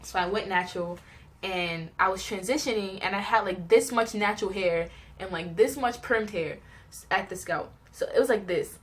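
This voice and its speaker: A woman's voice: medium pitch, normal speed. Young New woman, casual storyteller. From New York, United States. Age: young adult. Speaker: vlogger telling personal stories.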